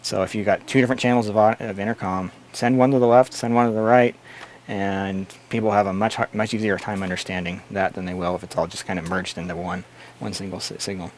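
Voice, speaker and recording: A man with a fairly low voice speaks over faint but steady background noise. He hosts a live tech stream, fielding viewer questions in a casual, explanatory style. His manner is blunt and opinionated. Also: fast talker. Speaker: host answering live chat questions.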